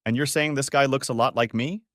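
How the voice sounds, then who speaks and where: A man's voice: mid-range voice, steady tone, brisk, a bit bright, dry recording, clean studio sound, natural voice. A man voicing a young husband who jokes and protests in first-person dialogue. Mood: protesting. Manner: joking, protesting. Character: joking young husband and office worker.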